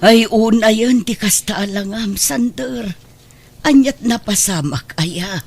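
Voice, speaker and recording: A woman playing a protective, sympathetic middle-aged godmother in a radio drama, expressive and concerned. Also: fairly high pitch, takes it slowly, vocal fry, soft background music. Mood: concerned, indignant. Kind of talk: Ilocano radio drama acting.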